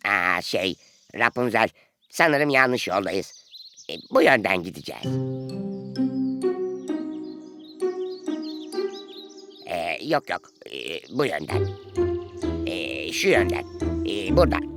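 A flustered, scatterbrained little dwarf man from a children's fairy-tale cartoon. The voice sits fairly low for a woman and swings about in pitch, with hesitant, exclamatory delivery over loud music. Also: sing-song, measured pace, long pauses, full character voice. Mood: confused. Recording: music behind the voice.

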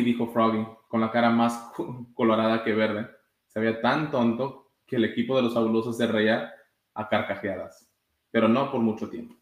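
A young man with an average-pitched voice reads a children's book aloud. He raises his voice for the characters' exclamations. The recording has noticeable room echo. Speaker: soccer goalkeeper reading a children's story. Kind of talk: read-aloud storytime. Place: indoor room with blinds, sitting. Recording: roomy echo.

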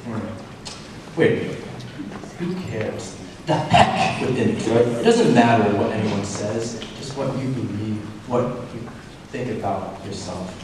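A young man performing spoken word poetry on a hall stage, dramatic, dropping to a hush and then rising forcefully. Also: fairly low pitch. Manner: dramatic, swings from hushed to forceful. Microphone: handheld mic. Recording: echoey hall from far off, noisy.

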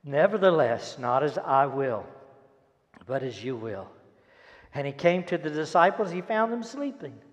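An older man preaches a sermon and reads scripture with dramatic, emphatic delivery and deliberate pauses. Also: a little high, sing-song, dull audio. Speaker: pastor. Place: large church auditorium stage, indoors. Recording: echoey hall, long reverb.

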